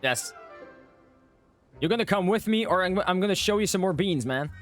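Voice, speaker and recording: A man's voice: sits high, animated, normal speed, fairly bright tone. A man giving casual, playful live gameplay commentary as a streamer, often repeating his phrases. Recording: game music behind the voice. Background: video game music.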